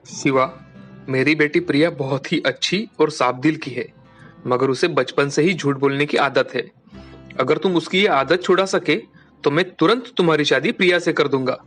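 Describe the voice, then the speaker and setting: A man voicing a wealthy, earnest merchant father in a formal, deliberate way for an animated moral story. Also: on the high side, faint music behind. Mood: serious. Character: wealthy merchant father, earnest and concerned about his daughter.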